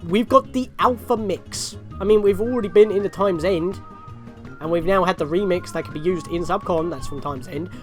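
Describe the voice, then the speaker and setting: A man's voice: high voice, normal speed, darkish tone, faint music behind. A man hosting a gaming video with casual, rambling let's play commentary and chatty asides.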